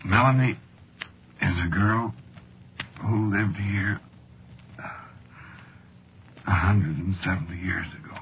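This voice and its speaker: An actor in a vintage radio drama playing a weary, fatalistic man dying of a wound, weak and in pain, speaking in halting, laconic phrases. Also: sits low, a bit bright, full character voice. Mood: faint, fatalistic. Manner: halting, laconic, resigned delivery. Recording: noisy vintage radio recording.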